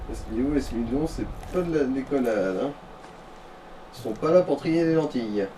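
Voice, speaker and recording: A man's voice: medium pitch, lively intonation, moderate pace, warm tone. Casting: male gaming co-commentator, teasing slang, slightly distant from the mic, faint noise behind. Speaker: let's play co-commentator. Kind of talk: casual gaming banter.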